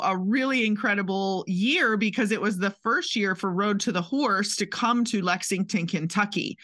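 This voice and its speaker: A woman's voice: medium pitch, pushed and bright. A woman from Maryland hosting a podcast interview, chatty and enthusiastic. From Maryland, USA. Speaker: podcast host.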